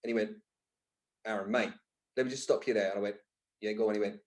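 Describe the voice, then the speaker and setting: A man's voice: fairly low pitch, steady tone, quick pace. A man speaking as a podcast guest, telling candid personal stories in a colloquial, emphatic way. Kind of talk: candid conversational storytelling.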